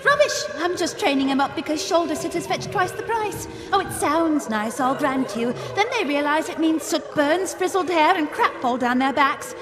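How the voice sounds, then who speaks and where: A woman's voice: high voice, lively intonation, normal speed, few pauses. A woman playing a hearty, enthusiastic upper-class dragon breeder in a live radio comedy drama, with plummy, gushing speech and jolly old-fashioned exclamations. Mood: hearty enthusiasm. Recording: live stage, long reverb, music behind.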